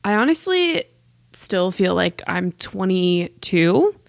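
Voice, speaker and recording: A woman's voice: lowish voice, sing-song, slow pace, vocal fry, no echo, studio quality. A woman in her late twenties hosting a casual solo podcast, chatting in a rambling, self-deprecating way with lots of "like" fillers. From United States. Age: 20s. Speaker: podcast host.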